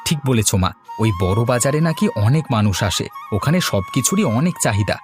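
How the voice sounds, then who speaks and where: A man's voice: mid-range voice, very animated, brisk, lightly acted. Guy doing a hardworking village dad selling stuff, cartoon, earnest, mid voice, bit of music behind. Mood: hopeful. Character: hardworking village fan seller, devoted father and son. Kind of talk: cartoon voice acting.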